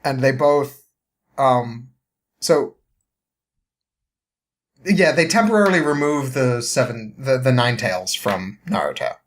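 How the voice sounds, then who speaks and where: A man with a mid-pitched voice recaps a story in a loose, conversational way. He rambles and hesitates, feeling for the next bit, and sounds amused by what he is telling. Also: expressive. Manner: halting, rambling, amused, often chuckling. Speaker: podcast guest.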